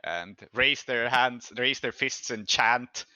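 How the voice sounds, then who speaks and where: A man's voice: medium pitch, slow, sharp tone, no room sound, highs cut off. A man narrating and refereeing an improvised tabletop roleplaying game as game master.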